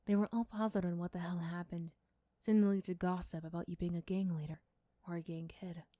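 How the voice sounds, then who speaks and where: A soft-spoken woman giving scripted second-person story narration in an ASMR roleplay style. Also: fairly low pitch, little pitch movement, brisk, dry recording, studio quality. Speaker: storyteller and voice performer.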